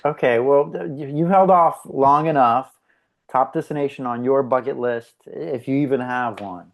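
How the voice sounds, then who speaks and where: A woman's voice: very deep voice, normal speed, creaky, lo-fi sound. An actress plays a woman on a date who is curious and direct. She speaks casually and probes with her questions, in the conversational style of a drama table read. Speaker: actor at a live script read.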